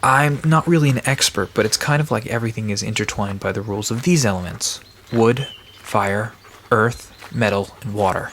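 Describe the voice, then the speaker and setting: A man's voice: on the low side, moderate pace, a bit bright, a little hiss or hum. A man playing an aloof park caretaker in an audio drama, explaining things in a dry, matter-of-fact, guarded way. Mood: patient, matter-of-fact.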